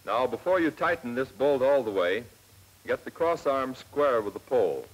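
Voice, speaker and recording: An American man narrating a 1940s military instructional film in a plain, measured, step-by-step manner, on an old film soundtrack. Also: medium pitch, expressive, dull audio. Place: scripted voice-over for film.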